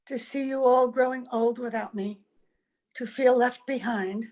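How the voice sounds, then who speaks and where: A fairly high female voice from an actress as a wistful gentlewoman with a secret in an old-fashioned radio play. She speaks earnestly and gently, with a touch of melodrama. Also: fairly even intonation, a little slow, natural voice. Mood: wistful, anguished. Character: wistful, secretive mother posing as a young visitor. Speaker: actor in an amateur radio play. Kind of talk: old-time radio drama acting. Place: at home, recorded over video call.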